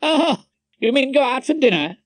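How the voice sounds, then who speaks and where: A man's voice: very high voice, animated, moderate pace, no echo, voice pushed up. A man voicing a wry, irreverent older male barrister in an audiobook, dry and droll. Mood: puzzled.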